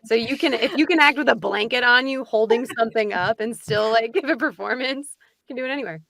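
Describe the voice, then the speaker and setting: A woman's voice: on the high side, quick pace, vocal fry, fairly bright tone. A woman voice actor chatting casually as a guest on a panel. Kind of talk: casual chat.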